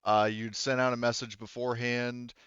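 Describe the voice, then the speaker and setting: A man's voice: medium pitch, little pitch movement, moderate pace, a bit bright, clean tone, no echo, lo-fi sound. A man hosting a tabletop roleplaying session as game master, explaining things informally and a little hesitantly.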